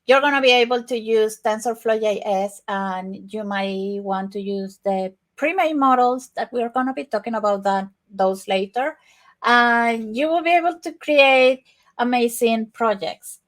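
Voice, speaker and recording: A woman's voice: a little high, measured pace. A woman from Venezuela with a Venezuelan Spanish accent, giving an informal tech workshop presentation at an online conference.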